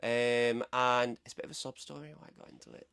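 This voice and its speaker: A man's voice: mid-range voice, fairly even intonation, fast, creaky, fairly bright tone, clear, resonant tone. A man, chatting casually and informally as a podcast guest. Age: 20s. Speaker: podcast guest, horse rider. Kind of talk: casual conversation.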